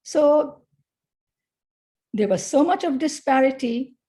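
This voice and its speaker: Slow, measured Bangladeshi-born woman speaker. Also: sits high, animated, warm tone. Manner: slow, measured, with pauses between phrases. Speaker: academic speaker. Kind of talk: conference talk.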